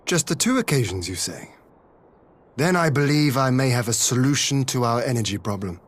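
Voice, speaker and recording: An actor playing a shrewd, theatrical strategist in a video game cutscene, speaking in deliberate, formal phrases with a husky voice. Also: male voice, mid-range voice, animated, close to an everyday voice. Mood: musing. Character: shrewd, theatrical male strategist, musing and confident.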